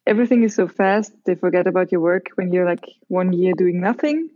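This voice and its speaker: A woman with an Austrian accent speaks in a fairly low voice. She chats casually as an interview guest. The recording is close and dry, with a slightly dull, lo-fi sound. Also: a little fast, no echo. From Carinthia, Austria. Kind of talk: casual chat.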